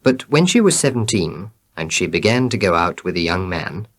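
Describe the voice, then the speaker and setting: A man's voice: low voice, big pitch swings, normal speed. A man reading a short scripted tale aloud for learners. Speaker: story reader.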